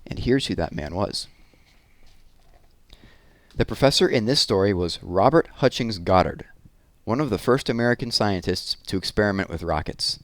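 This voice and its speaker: Low-voiced man reading a story aloud. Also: expressive, normal speed, pauses often, no echo. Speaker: read-aloud host and narrator.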